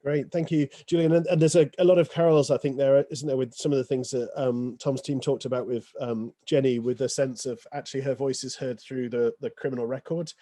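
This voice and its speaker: A man wraps up a panel discussion as its chair, measured and appreciative. His tone is mellow and dark, and the sound is dry and close. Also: mid-range voice, steady tone, no echo.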